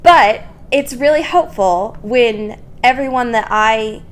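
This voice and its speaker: A woman's voice: fairly high pitch, slow pace. A young adult woman co-hosting a podcast in a casual, comedic chat, informal and self-mocking, swearing freely. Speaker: podcast co-host.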